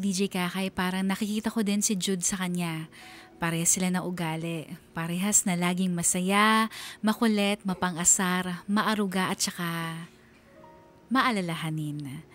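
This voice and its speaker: A woman's voice: lowish voice, lightly acted. A woman playing an introspective, self-doubting young woman who narrates her own story in a radio drama, confiding and reflective. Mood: reflective, fond. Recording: music bed behind narration.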